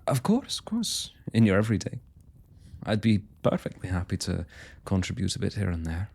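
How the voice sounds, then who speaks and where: A man's voice: deep voice, sing-song, moderate pace, vocal fry, darkish tone, breathy edge, exaggerated voice. A man playing a young royal blacksmith in an intimate fantasy roleplay, speaking to the listener in courtly, hesitant, old-fashioned phrasing. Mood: enamored, flustered. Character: young male royal blacksmith, smitten, forthright, proud working man.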